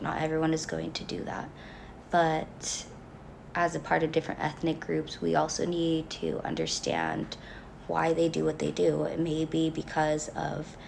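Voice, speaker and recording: A young woman, a college student, reading her class project reflection in an earnest, halting way with pauses. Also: low-pitched, vocal fry, a little mellow, slight background noise. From Mexican family background. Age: young adult.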